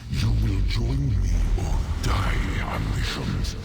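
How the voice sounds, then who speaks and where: A man voicing a menacing, domineering demon prince in a fantasy game, with a slow, imperious, gravelly delivery. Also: wildly swooping pitch, very noisy, exaggerated voice. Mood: cold menace. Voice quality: deep gravelly voice. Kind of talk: fantasy video game villain acting. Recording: loud rumbling game ambience behind.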